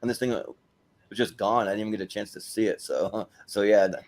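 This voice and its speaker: A man's voice: fairly low pitch, steady tone, a little fast, a little mellow. A man hosting a casual movie chat, rambling along and repeating words as he goes. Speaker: host of a panel show.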